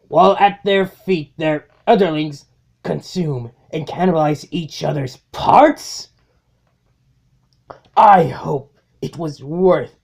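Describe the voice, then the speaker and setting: A man's voice: on the high side, creaky, slightly rough, heavily acted. A man voicing a domineering robot warlord in a cartoon-style comic dub, delivering theatrical, menacing monologues full of cold mockery. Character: domineering robot warlord, male, coldly mocking his underling. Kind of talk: amateur comic dub acting.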